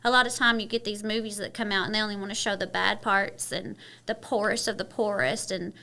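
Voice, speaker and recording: A woman's voice: medium pitch, steady tone, brisk, vocal fry, pushed and bright. A woman from Kentucky with a Southern twang, chatting openly as a podcast guest and using many "you know" fillers. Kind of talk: casual interview chat.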